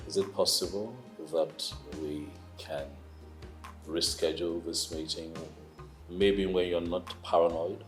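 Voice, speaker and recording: A man's voice: sits low, fairly even intonation, slow. A man playing a weary king who brushes off his wife's worries, impatient and dismissive. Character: weary dismissive male king, middle-aged. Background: music.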